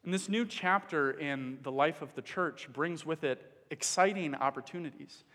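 A man from the Dominican Republic preaching a sermon in an earnest, measured way, pausing with fillers. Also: a little high, a bit bright. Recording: long hall reverb.

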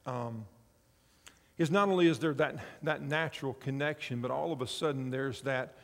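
A man's voice: mid-range voice, normal speed, vocal fry, in a large hall. A man in his fifties preaching a sermon, telling a story earnestly and drawing the congregation in. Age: 50s. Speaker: pastor and chaplain.

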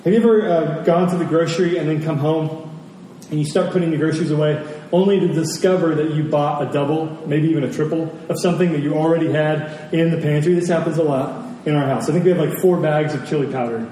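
Guy preaching, soft dark tone, repeats stuff for emphasis, kinda far from the mic with faint noise. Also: on the high side, steady tone, quick pace. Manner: emphatic, repeats phrases for effect. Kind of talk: sermon to a congregation. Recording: very echoey hall, distant mic, faint noise.

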